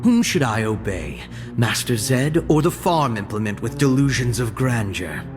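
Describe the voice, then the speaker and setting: An actor playing an arrogant young shadow assassin in a video game, with a cold, haughty and measured delivery. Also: male voice, mid-range voice, sing-song, close to an everyday voice. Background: game music.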